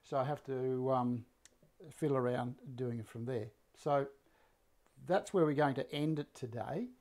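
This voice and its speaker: Croaky older man teaching woodwork. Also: medium pitch, measured pace. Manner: relaxed, rambling, many fillers and restarts. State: slightly croaky voice. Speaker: woodworking teacher and live-stream host. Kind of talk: live workshop demonstration. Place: woodworking workshop indoors. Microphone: fixed camera, mid-distance framing.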